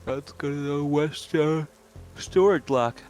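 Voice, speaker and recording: Slow-talking man at a tabletop game. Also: fairly high pitch, darkish tone, soft background music. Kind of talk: casual table talk.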